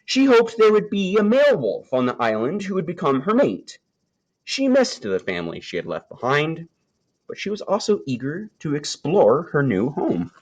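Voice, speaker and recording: Man reading a storybook with lively intonation. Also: medium pitch. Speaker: storybook reader. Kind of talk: read-aloud children's nature story.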